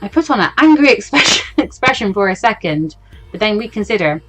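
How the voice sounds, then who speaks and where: An anxious young teenage girl, voiced by a woman in a visual novel. She thinks things through in a hesitant, introspective inner monologue at a middling pitch, with faint music behind her. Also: sing-song, fairly quick, fairly bright tone. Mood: flustered, wry. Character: anxious, introspective fourteen-year-old girl, a student mediator. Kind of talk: visual novel read-aloud.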